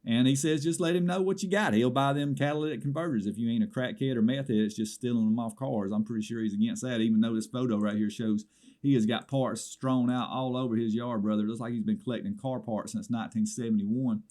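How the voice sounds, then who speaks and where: A man's voice: mid-range voice, fairly even intonation, fast talker, warm tone, lightly acted. A man playing an indignant small-town caller with a Southern American accent, rambling through a comedy swap shop report with exasperated asides. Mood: folksy, wary.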